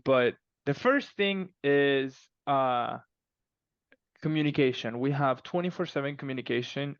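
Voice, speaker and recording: A man speaking as a guest author in a casual podcast interview, telling stories in an animated way and acting out exchanges. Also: medium pitch, clean tone, dry recording, dull audio.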